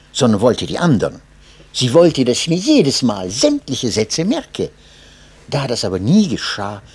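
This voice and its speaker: A man reading a memoir aloud as narrator, in an expressive and measured way. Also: on the high side, husky. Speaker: audiobook narrator.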